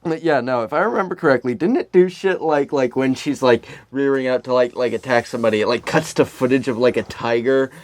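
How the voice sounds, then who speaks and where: A man's voice: mid-range voice, brisk, hardly any pauses, dry recording. A man co-hosting a podcast in casual comic banter about films, often slipping into mock dialogue as he jokes. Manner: joking, acts out mock dialogue. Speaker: podcast co-host.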